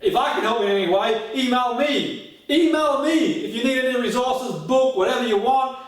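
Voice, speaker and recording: High-voiced male motivational speaker, very echoey room. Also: moderate pace. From Australia. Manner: direct address with repeated catchphrases and rhetorical questions. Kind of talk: motivational goal-setting seminar talk. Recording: very echoey room, distant mic.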